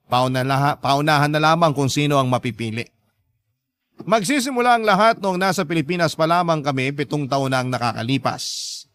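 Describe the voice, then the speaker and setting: A Filipino male radio host reads a dramatic story in a measured way. His voice sits somewhat high for a man, and the sound is dry and close. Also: fairly bright tone, dry recording. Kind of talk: scripted dramatic narration of listener's letter.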